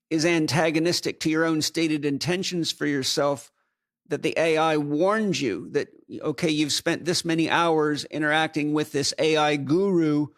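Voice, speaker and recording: Higher-voiced man musing aloud as host. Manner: thinks aloud, builds long rambling ideas with hesitations. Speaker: podcast host. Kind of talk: conversational podcast interview.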